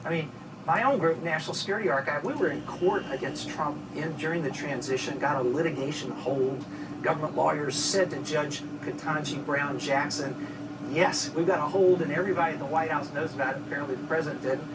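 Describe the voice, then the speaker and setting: A man commenting as an expert guest on a news show, telling events like an animated storyteller. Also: on the high side, a little fast. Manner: animated storytelling, acts out quotes. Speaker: expert guest from an archive research group. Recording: noisy, distant-sounding audio.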